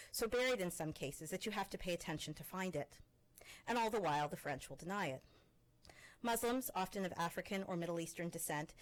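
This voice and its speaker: A Canadian-born woman presenting as a faculty member, reading her personal essay aloud in a measured, expressive way with pointed pauses. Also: lowish voice, rough recording.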